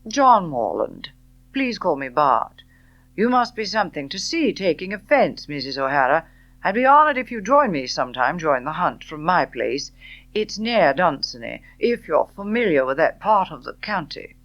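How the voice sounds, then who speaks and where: A woman voicing a courteous, admiring English gentleman in an audiobook, speaking in a genial, courtly way. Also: low voice, sing-song, moderate pace, dull audio. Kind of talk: audiobook character dialogue.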